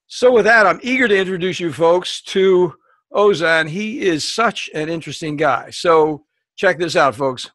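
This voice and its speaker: A man's voice: sits high, normal speed, a bit bright, no echo. Eager man introducing a guest. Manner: enthusiastic, addresses listeners as folks. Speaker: podcast host.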